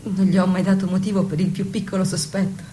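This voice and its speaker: A woman's voice: medium pitch, little pitch movement, quick pace, warm tone, slight background noise, close to an everyday voice. A woman acting a devoted, innocent young wife in an intimate stage-style drama, earnest, submissive and self-blaming. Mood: anxious, apologetic. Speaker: stage actress. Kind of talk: intimate theatrical radio drama.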